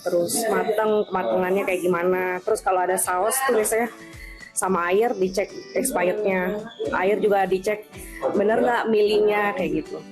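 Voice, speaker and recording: A woman gives a casual, informal explanation in an interview. Her pitch is average, and music is clearly heard behind her. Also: fairly even intonation, moderate pace, poor audio.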